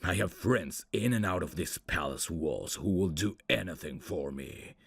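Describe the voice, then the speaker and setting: A man voicing a proud, betrayed prince with breathy, dramatic delivery in a fantasy ASMR roleplay. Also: deep voice, animated, a little slow, no echo, full character voice. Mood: indignant, defiant. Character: proud prince, betrayed and falling under a spell.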